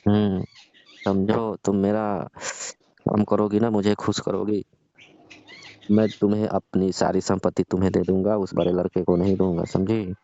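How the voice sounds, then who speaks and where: A man's voice: sits low, flat delivery, moderate pace, warm tone. A man playing a lecherous, pleading older father-in-law in a comedic phone-call skit, coaxing and insistent. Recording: dull lo-fi phone-call style audio.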